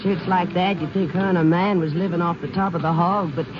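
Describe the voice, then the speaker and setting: An actor playing a chatty, eager small-town laundry worker in a 1950s radio drama, rambling in folksy, rustic talk. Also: male voice, sits high, fairly even intonation, brisk, a crowd in the background, lightly acted. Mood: helpful, rambling. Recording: muffled old radio, background bustle.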